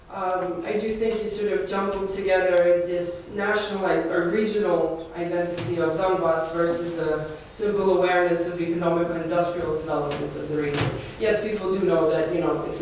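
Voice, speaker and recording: Man, Russian speaker, high voice, earnest floor comment, booming echo, faint hiss. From Ukraine. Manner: fluent, earnest, argumentative. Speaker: audience member commenting. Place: large lecture hall. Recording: echoey hall from far off, faint noise.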